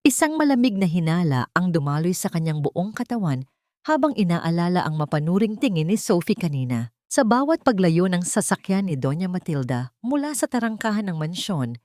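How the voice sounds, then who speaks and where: A woman reading a scripted dramatic story in a measured, solemn, suspenseful way. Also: on the low side, sing-song, darkish tone, dry recording, clean studio sound. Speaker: narrator of audio drama stories.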